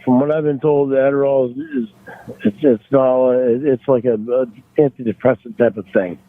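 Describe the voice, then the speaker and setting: A man on a phone call, arguing defensively in a halting, repetitive way. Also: medium pitch, expressive, dry recording. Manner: halting, repeats himself, defensive. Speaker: phone caller. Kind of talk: argumentative private phone call. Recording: tinny, narrow phone line.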